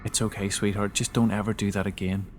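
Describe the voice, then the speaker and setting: A man's voice: lowish voice, flat delivery, fast talker, slight background noise, close to an everyday voice. A man playing a loving, worried mother who soothes a child in a thriller story. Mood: soothing, relieved. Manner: soothing, tender wording.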